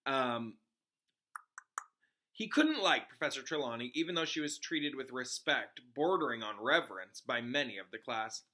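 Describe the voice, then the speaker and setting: A man's voice: mid-range voice, expressive, sharp tone. A man who is a teacher, reading a children's novel aloud in an informal way with casual explanatory asides. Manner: informal, pauses to explain to listeners.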